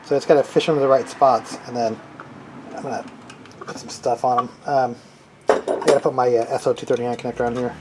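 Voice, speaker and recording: A man's voice: mid-range voice, steady tone. Man thinking aloud over noisy workbench clatter. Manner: halting, thinking aloud while working with hands. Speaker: hobbyist radio builder demonstrating a build. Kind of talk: casual unscripted how-to narration.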